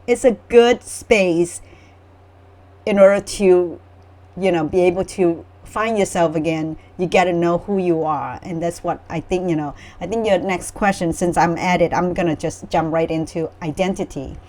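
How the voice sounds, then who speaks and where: A woman's voice: lowish voice, steady tone, moderate pace, darkish tone. A middle-aged woman from Vietnam, telling her personal story in an earnest, reflective way as a guest author. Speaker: memoir author, panel guest.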